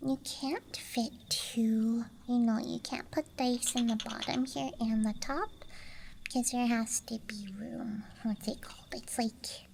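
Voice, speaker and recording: High, slow, whispering ASMR woman. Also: steady tone, a little hiss or hum. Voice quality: soft, breathy whisper. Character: friendly dice goblin hoarding her treasures. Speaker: ASMR performer. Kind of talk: whispered ASMR roleplay, rambling show-and-tell. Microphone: close ASMR microphone. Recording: close mic, audible ambient night sounds.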